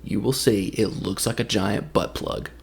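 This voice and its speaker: A man with a Southern Tennessee drawl talks through a film in a casual, wandering way. His voice sits a little low and has a gravelly, fry-edged texture. He keeps the tone light and joking. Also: fairly even intonation. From Tennessee, USA. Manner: loose, jokey, drifts into quick impressions. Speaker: movie reviewer. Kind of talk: casual rambling movie recap.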